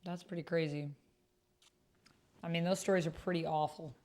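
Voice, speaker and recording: Lower-voiced woman streaming a text roleplay. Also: fairly even intonation, moderate pace, darkish tone. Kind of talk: casual livestream chat with typed roleplay read aloud.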